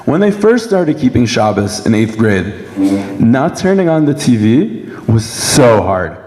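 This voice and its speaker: A young man from Brooklyn tells his story in a measured, rehearsed way, speaking through a sore throat. His voice is bright and mid-pitched, ringing with long reverb in a big hall. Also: very animated. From Brooklyn, New York, United States. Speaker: guest speaker giving a personal testimony. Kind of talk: prepared speech at a dinner event. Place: large indoor event hall.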